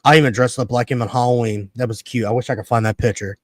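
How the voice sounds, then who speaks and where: A man in his thirties from Connecticut, hosting a casual wrestling podcast, excitable and quick to swear and laugh. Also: medium pitch, no room sound, clean studio sound. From Connecticut, USA. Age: 30s. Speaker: podcast host.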